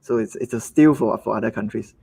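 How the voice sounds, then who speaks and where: A man with a Malaysian English accent, a business owner talking in a live webinar conversation, halting and repeating words as he acts out quotes. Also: fairly low pitch, vocal fry, dark tone, breathy edge.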